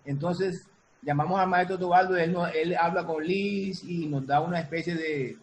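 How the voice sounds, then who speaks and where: Male guest producer, slightly high voice, halting casual explanations, faint background noise. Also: low-quality recording. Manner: halting, repeats and reformulates. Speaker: guest, music producer and sound designer.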